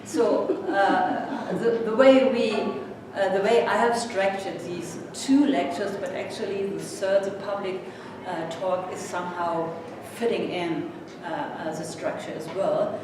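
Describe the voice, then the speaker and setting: A woman in her sixties with a German accent, a native German speaker, giving a seminar lecture as a guest professor in a halting, courteous, self-deprecating way. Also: mid-range voice, steady tone. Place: indoor seminar room. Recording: echoey room from far off, noisy.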